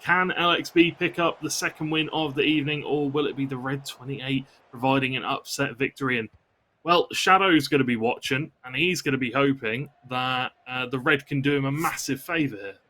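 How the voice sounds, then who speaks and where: A man's voice: medium pitch, normal speed, pushed and bright. A man working as an esports co-commentator, analysing football video game matches during live commentary.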